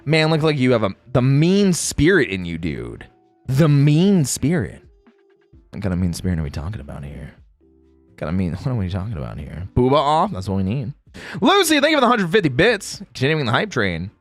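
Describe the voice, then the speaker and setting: Male streamer, very animated pitch swings, playful teasing, casual chat, close dry sound, music behind. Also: a little fast, no room sound. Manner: exclamatory, animated reactions. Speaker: streamer and host; game voice actor. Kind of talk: casual livestream chat, greeting viewers.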